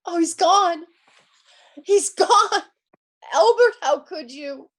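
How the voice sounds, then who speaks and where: A woman's voice: very high-pitched, lively intonation, slow pace, full character voice. A woman playing an anxious, demanding, self-pitying invalid wife in old-time radio suspense style, stammering nervously and repeating herself. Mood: panicked, distraught.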